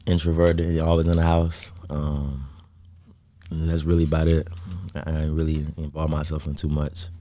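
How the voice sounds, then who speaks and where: A young man from Chicago's south suburbs, a guest musician talking in a low-key, hesitant way with lots of fillers. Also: level tone, normal speed, dark tone. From South Holland, south suburbs of Chicago. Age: young adult. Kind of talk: casual interview chat.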